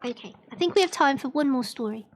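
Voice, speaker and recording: A woman reading fairy tales aloud on a livestream in an expressive storybook manner. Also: high-pitched, fast, slight background noise.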